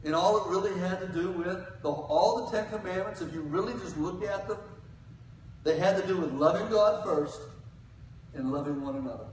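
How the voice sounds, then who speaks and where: An older man preaching a sermon from a church pulpit, swinging between loud cries and quiet asides. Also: high voice, moderate pace, a little hiss or hum. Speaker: guest preacher. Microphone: pulpit mic, filmed from the pews. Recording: echoey church from far off.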